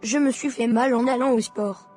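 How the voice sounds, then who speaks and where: A woman voicing a hurt, dutiful schoolboy in an animated drama, subdued and earnest. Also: on the high side, moderate pace, lightly acted. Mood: defensive, meek. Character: hurt, dutiful schoolboy son named Karl. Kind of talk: dramatic dialogue and confession in an animated short. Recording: music behind.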